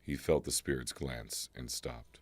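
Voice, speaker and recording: Very deep-voiced male storyteller. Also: fairly even intonation, measured pace, dry recording. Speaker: narrator. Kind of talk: scripted storybook narration. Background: music.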